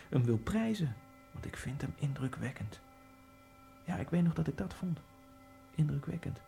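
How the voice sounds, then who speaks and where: A man's voice: mid-range voice, creaky, dark tone. An actor playing a nervous, fawning immigrant man who rambles in a radio play. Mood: anxious, fawning. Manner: rambling, obsequious, slides into bitter ranting. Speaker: radio drama lead. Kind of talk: radio play acting with inner monologue.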